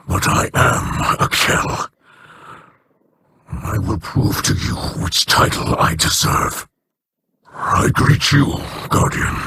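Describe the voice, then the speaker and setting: An actor playing an aging male alien pirate chieftain, remorseful and guarded, speaking in a slow, halting, formal way with a breathy, hissing rasp. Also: fairly low pitch.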